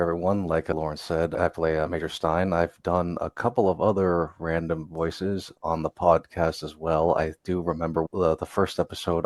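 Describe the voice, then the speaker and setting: Male guest, very low flat voice, relaxed recollections. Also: no echo. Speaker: voice actor. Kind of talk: casual recollection.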